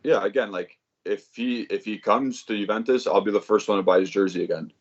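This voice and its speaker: A man's voice: fairly low pitch, fairly even intonation, a little fast, muffled recording. A man co-hosting a casual sports podcast, laid-back and chatty, saying "like" often. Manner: laid-back, says "like" a lot. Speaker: podcast co-host.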